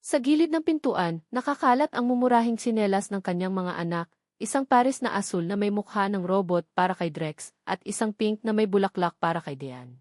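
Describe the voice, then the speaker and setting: A woman with a Filipino accent reading scripted romantic drama narration in a measured, emotive way. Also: mid-range voice, animated, a little mellow, dry recording, clean studio sound. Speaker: audiobook story narrator.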